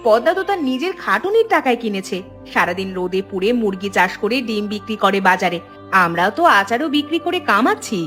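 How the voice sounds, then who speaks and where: A woman's voice: medium pitch, very animated, quick pace, fairly bright tone, faint music behind. A woman playing a sensible married elder sister from a village in a cartoon, with a firm, admonishing manner. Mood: concerned, placating. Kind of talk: cartoon dialogue.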